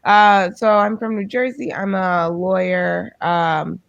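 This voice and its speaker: A woman from the United States, a guest lawyer, answering interview questions slowly and hesitantly over a video call. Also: medium pitch, flat delivery, creaky, no echo, highs cut off. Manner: slow, hesitant, many ums.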